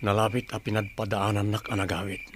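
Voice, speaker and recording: Man acting brave young Filipino tribesman, dutiful, slightly low voice, radio drama, clear noise and clicks. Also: level tone, brisk, natural voice. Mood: quiet, reflective.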